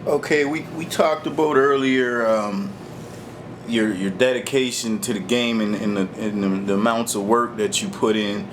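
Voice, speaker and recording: A man's voice: on the low side, steady tone, normal speed, background noise. A male host interviewing a guest casually, informal and often repeating words as he frames his questions.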